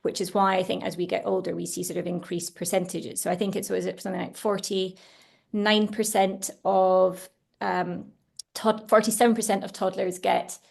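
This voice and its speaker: A woman's voice: mid-range voice, little pitch movement, normal speed, gravelly. A woman who founded a children's food brand, talking chattily and eagerly on a webinar panel, with many fillers and restarts. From Italian household. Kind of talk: casual panel discussion. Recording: webinar over video call.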